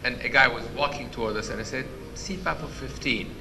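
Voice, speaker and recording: A man with a loud voice giving an interactive medical lecture, quizzing the audience and restarting his sentences often. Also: mid-range voice, fairly quick, creaky, slight background noise. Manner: questions the audience, many restarts. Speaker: physician lecturer. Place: conference hall.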